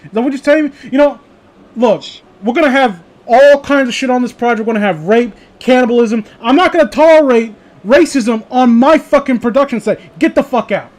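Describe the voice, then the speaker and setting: A high-pitched, pompous male TV director as acted out by a man in casual banter. He rambles theatrically through his ideas, crude and sanctimonious, with an indignant edge. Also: brisk.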